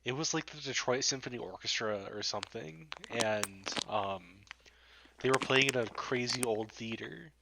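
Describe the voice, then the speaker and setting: A young American man co-hosting a podcast, telling halting anecdotes in casual chat with friends over a slightly noisy mic. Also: mid-range voice, creaky. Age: young adult. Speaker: podcast co-host.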